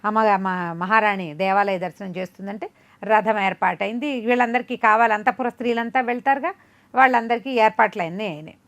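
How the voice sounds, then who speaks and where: A woman telling a folk tale in an animated, conversational way, with explanatory asides. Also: mid-range voice, brisk. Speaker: storyteller.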